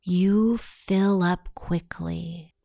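Very slow, low-voiced woman guiding meditation. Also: fairly even intonation, creaky, warm tone, no echo, clean studio sound. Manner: soothing, measured, long pauses between phrases. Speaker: hypnosis session guide. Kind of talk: guided meditation, self-hypnosis narration.

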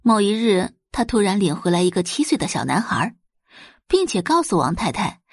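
A woman's voice: medium pitch, big pitch swings, moderate pace, breathy edge, no room sound, studio quality. A woman narrating a scripted audio drama in a dramatic storytelling style.

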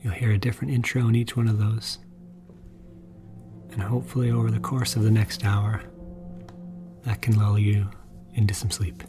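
Soft-spoken man over music. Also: lowish voice, little pitch movement, normal speed, pauses often. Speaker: podcast editor introducing a special episode. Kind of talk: soft-spoken bedtime podcast introduction.

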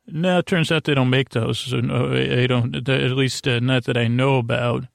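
A man hosting a bedtime podcast in a creaky, lulling voice, meandering haltingly and interrupting himself through a silly monologue. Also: medium pitch, fairly even intonation, dry recording, studio quality. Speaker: host of a bedtime sleep podcast. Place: small closet, close and dry.

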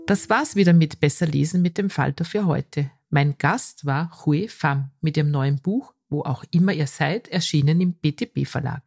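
A woman's voice: low voice, normal speed, creaky, hardly any pauses, darkish tone, no room sound. A woman hosting a podcast, presenting in a scripted moderator's style. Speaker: podcast host.

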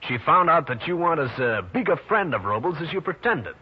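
A man's voice: on the high side, sing-song, brisk, slight background noise. A man playing a tough, wry, unruffled private detective with an American accent, in an old-time radio drama. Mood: accusing, cool. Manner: wry, unruffled, hard-boiled. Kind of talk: 1940s or 1950s radio detective acting. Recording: muffled old radio recording.

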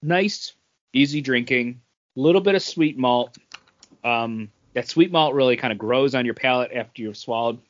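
Male podcast co-host, medium pitch, relaxed informal chat, slightly dull lo-fi recording. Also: moderate pace. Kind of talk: casual chat, informal beer review.

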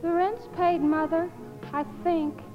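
Sweet naive college girl from 50s radio, bit hesitant, high voice, dull old recording. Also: fairly even intonation, takes it slowly, music in the background. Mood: dazed, smitten. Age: young adult. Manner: demure, hesitant. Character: shy, sweetly naive college-age daughter. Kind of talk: 1950s radio comedy acting. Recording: muffled 1950 radio broadcast.

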